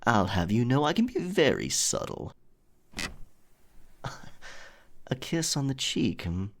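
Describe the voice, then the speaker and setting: A man's voice: mid-range voice, sing-song, takes it slowly. A man playing a roguish, affectionate pirate captain in an intimate, teasing romantic ASMR audio roleplay. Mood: playful, mock offended.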